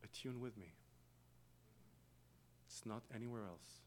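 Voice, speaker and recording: A man from Israel with an Israeli accent, a native Hebrew speaker, giving a slow, reflective guest sermon in a church. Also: on the low side, slight background noise. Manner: slow, reflective, with long pauses and soft trailing phrases. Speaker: guest preacher. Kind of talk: meditative sermon with chanted Hebrew opening.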